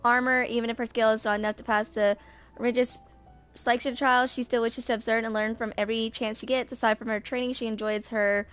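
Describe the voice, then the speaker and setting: Young woman with a higher voice, music behind. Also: little pitch movement, a little fast, fairly bright tone. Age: 20s. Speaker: gamer video host. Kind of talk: casual gameplay commentary, talking to herself. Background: game music.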